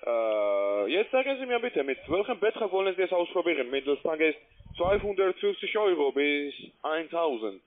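A man who is a non-native speaker gives a halting, repetitive scripted sales pitch as a telephone trading agent. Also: big pitch swings. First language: not German. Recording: tinny narrow-band phone line.

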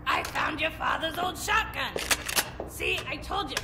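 A woman's voice: high voice, wildly swooping pitch, a little slow, exaggerated voice. A woman playing a feisty, stubborn elderly mother in an audio drama, scolding and brash. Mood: defiant. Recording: echoey room, faint music underneath.

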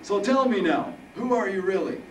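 A stubborn, wisecracking old veteran from a comic storybook. He speaks in a high, swooping pitch with folksy, gruff wording. The recording is roomy and a bit far from the mic. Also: animated, normal speed. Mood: amused. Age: older. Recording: roomy, distant mic, faint noise.

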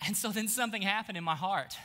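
A man in his thirties preaching an energetic sermon on a church stage, swinging from hushed lines to shouts. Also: high voice, quick pace, noisy background. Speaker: pastor.

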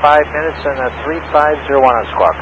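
A man's voice: a little high, a little fast. A man speaking as a pilot, making clipped calls and readbacks over an aviation radio. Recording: dull aviation radio, clear engine noise.

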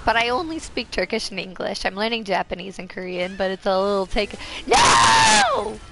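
A young American woman from Ohio streaming a fighting game while sick, coughing now and then. She chats with viewers and squeals and yells at the game. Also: medium pitch, huge pitch swings, moderate pace, creaky, a little hiss or hum, low-quality recording. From Ohio, USA. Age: young adult. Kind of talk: casual game stream chat. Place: home room, indoors. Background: faint game music.

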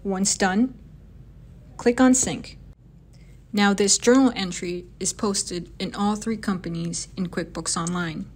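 A woman reading scripted narration for a software demo in an instructional, step-by-step manner. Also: mid-range voice, takes it slowly.

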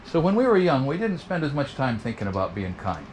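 A man in his sixties from Oregon, hosting and narrating in a measured, reflective way. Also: medium pitch, very animated, very noisy. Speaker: host. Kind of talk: casual chat and voice-over narration. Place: indoor wooden workshop.

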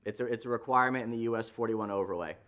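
A man's voice: mid-range voice, racing, a bit bright. A man on city planning staff walking a zoning board through a staff report, explaining patiently with frequent fillers and restarts. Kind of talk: staff report at a public meeting. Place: council chamber.

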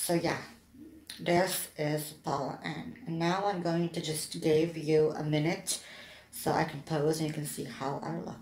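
A woman vlogger chatting casually to the camera in a halting, hesitant way as she gets ready. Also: deep voice, little pitch movement. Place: plain-walled room indoors. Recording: echoey room.

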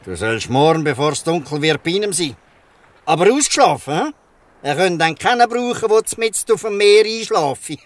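A man's voice: a little high, animated, normal speed, no room sound, lightly acted. An actor playing a fatherly, shrewd, bluff fisherman in a Swiss German radio play. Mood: kindly, persuasive. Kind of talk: Swiss dialect radio drama acting. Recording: slightly distant from the mic.

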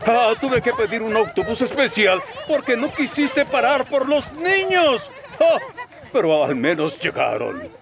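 A man's voice: very high voice, very animated, measured pace, crowd noise, heavily acted. A man voicing a flustered, fussy male railway controller in a cartoon dub, stammering when upset. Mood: exasperated.

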